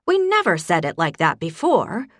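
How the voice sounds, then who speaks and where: A woman's voice: fairly low pitch, wildly swooping pitch, moderate pace, a bit bright, dry recording, clean studio sound, heavily acted. A woman voicing a stern elderly grandmother. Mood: insistent. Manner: firm, insistent, indignant.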